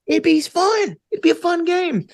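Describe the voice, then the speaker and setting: A man's voice: very high-pitched, expressive, a little slow, no room sound, clean studio sound. A man talking casually as an interview guest, with an enthusiastic, geeky manner and a habit of rambling off on tangents. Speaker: podcast guest, actor and tabletop gamer.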